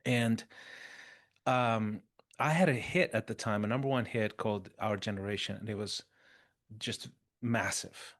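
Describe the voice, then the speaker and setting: A man in his fifties, a podcast guest, telling his story in an animated, conversational way and restarting phrases as he thinks. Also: on the low side, a little slow. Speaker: interview guest.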